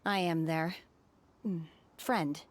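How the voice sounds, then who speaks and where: An aloof, slow-spoken young woman. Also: on the low side, fairly even intonation, slight background noise. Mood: detached. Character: aloof, reserved young woman, socially awkward and solemn. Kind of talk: video game voice acting. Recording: clear background music under the voice.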